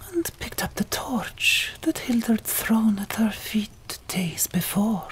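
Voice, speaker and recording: A woman narrating an audiobook in a whispered ASMR style. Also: mid-range voice.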